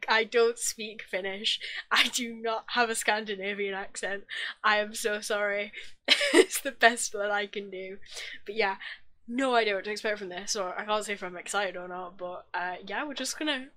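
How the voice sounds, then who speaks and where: Young woman, reaction host, bright gushing chatter, desk mic at home. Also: medium pitch. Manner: chatty, gushing, self-correcting restarts. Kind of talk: casual reaction commentary. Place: small home room indoors. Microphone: desk microphone, wearing headphones.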